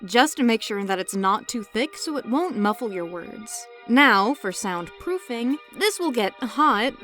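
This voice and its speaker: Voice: medium pitch, a bit bright. A woman narrating a scripted tutorial as host, with a playful, cheeky manner and joking asides. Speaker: host, indie voice actor giving tutorial. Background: background music.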